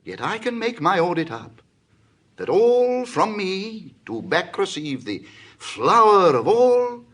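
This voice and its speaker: An actor playing a genial, wily Roman patrician in a Shakespearean drama, with a husky voice and a teasing, theatrical delivery. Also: male voice, sits high, sing-song, slow, a bit bright, exaggerated voice. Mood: teasing, deliberate. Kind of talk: classical Shakespearean stage acting.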